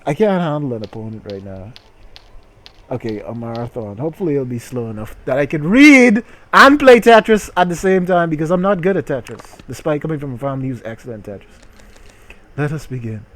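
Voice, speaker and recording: A man's voice: fairly high pitch, very animated, fairly quick, fairly bright tone. A man hosting a game livestream in a theatrical, mock-grandiose way, with muttered, sweary asides. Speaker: livestream host. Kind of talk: theatrical game-stream commentary.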